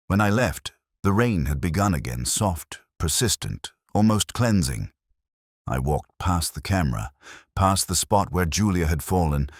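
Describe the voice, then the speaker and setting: A man narrating a scripted first-person detective story in a measured, brooding, literary manner. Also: a bass voice, dry recording, studio quality.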